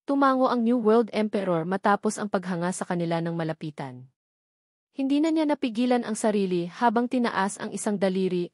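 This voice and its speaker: A woman's voice: mid-range voice, a little mellow, dry recording, clean studio sound. A woman reading a story aloud as a scripted audiobook narration.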